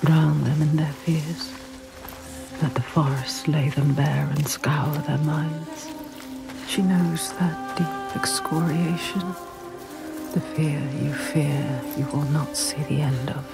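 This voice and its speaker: Low, slow, solemn saga narrator. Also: female voice, fairly even intonation, heavily acted, rough recording. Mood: ominous, brooding. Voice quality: breathy, hushed. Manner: measured, ominous, poetic cadence. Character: solemn female storyteller spirit narrating a dark saga. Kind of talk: scripted dramatic game narration. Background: loud music.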